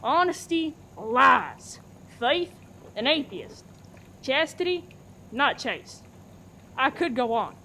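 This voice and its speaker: A woman's voice: high voice, sing-song, takes it slowly, pauses often, pushed and bright, a little hiss or hum, exaggerated voice. A woman playing a wry, chatty survivor in a farcical radio play, with comic delivery. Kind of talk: farce radio play acting.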